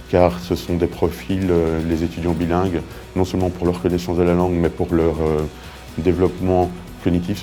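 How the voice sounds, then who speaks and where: A school staff man explaining the program in an interview, pausing with fillers. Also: low-pitched, mellow. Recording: music bed underneath.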